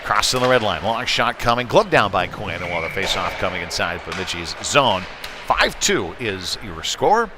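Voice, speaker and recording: A man doing live hockey play-by-play in halting, off-the-cuff phrases, as the announcer on a game stream. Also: fairly low pitch, big pitch swings. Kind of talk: live sports commentary. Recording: noisy live rink stream.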